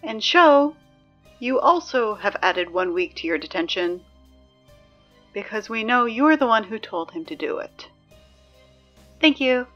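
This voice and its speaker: A woman playing a chatty, exasperated high school morning announcer in a scripted audio drama, conversational and wry with hesitant asides. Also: big pitch swings, a little slow, pauses often, lo-fi sound, faint music behind. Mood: stern, dry.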